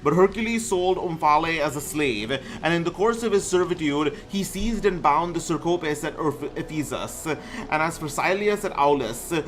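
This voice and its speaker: A man with a fairly high voice reads aloud between bits of casual game commentary. He trips over words and names and keeps backing up to fix them, with music playing underneath. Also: expressive, normal speed, fairly bright tone. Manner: stumbles over words and names, often correcting himself. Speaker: gaming streamer reading a myth aloud.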